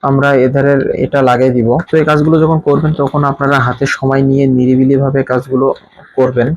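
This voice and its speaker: A man's voice: mid-range voice, flat delivery, quick pace, highs cut off. A man with a Bangladeshi accent casually explaining an installation step by step as a product reviewer, often repeating himself. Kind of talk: hands-on installation tutorial, casual spoken demonstration.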